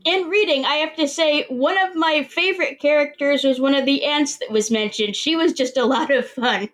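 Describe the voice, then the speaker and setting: A woman's voice: high-pitched, moderate pace. A woman hosting a book podcast, chatting with a guest author in a cheerful, chatty way. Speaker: podcast host. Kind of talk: friendly interview chat.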